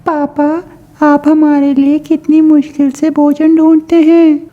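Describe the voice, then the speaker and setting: A woman's voice: sits high, little pitch movement, measured pace, phone-quality sound. A woman playing a small, innocent, polite baby girl bird in a children's cartoon. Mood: polite, childlike. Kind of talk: Hindi cartoon voice acting.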